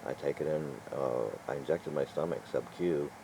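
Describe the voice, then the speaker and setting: A man's voice: extremely low pitch, normal speed, background noise. An older man talking casually in a vlog, rambling and exasperated, with chuckles and restarts along the way. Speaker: vlogger.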